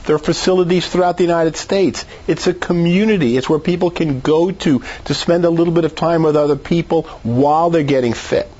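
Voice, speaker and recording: A man's voice: a little high, brisk, hardly any pauses, warm tone, lo-fi sound. A man speaking as a medical expert in an interview, giving conversational advice in an emphatic, persuasive way. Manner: emphatic, persuasive, rhetorical questions. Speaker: doctor, expert guest.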